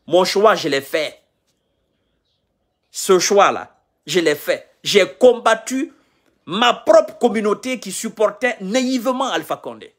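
A man's voice: high voice, lively intonation, slow pace, long pauses. A man in his thirties from Guinea, ranting heatedly in a selfie live video, lecturing and repeating his points, filmed on a phone held at arm's length in a small room. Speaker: political commentator. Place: indoors, small room, on a sofa.

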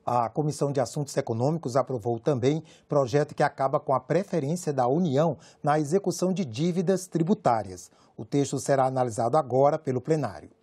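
Male anchor, studio newsreader, husky, dark tone, formal scripted delivery. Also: medium pitch, fairly quick, hardly any pauses. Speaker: news anchor. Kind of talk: scripted news reading.

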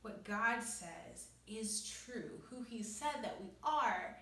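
A young woman giving informal, earnest Bible teaching in a conversational way with emphatic pauses, recorded in a small bare room. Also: on the high side, expressive, bright, forceful tone. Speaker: devotional teacher and host. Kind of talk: informal Bible teaching, reading and explaining scripture. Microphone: camera a few metres away. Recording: echoey room, distant microphone.

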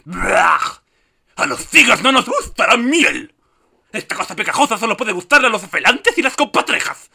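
A man voicing a bouncy, boastful, exuberant tiger in a cartoon dub, with a gravelly voice and a Castilian Spanish accent. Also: sits high, very animated, normal speed, sharp tone, heavily acted. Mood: boastful, fickle. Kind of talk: cartoon fandub audition.

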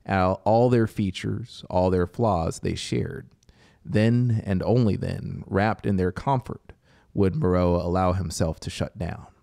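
A man in his thirties reads a scripted story in a deliberate, dramatic manner with pauses, close on a studio microphone. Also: deep voice, vocal fry, warm tone, no echo. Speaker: audiobook narrator. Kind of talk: scripted story narration over soundscape. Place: small home music studio, indoors. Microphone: close studio microphone with headphones. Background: atmospheric synth music.

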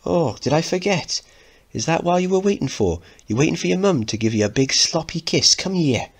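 A man's voice: fairly high pitch, expressive, fairly quick, breathy edge, pitched above the performer's own voice. A man voicing a brash, teasing working-class mother with a blunt, ribbing manner, reading from a play.

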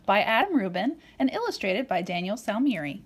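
Female storybook reader, animated and playful, swooping intonation, speaking to a small child. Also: medium pitch, a little fast, slightly rough. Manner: playful, expressive, with dramatic emphasis. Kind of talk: animated read-aloud to a young child.

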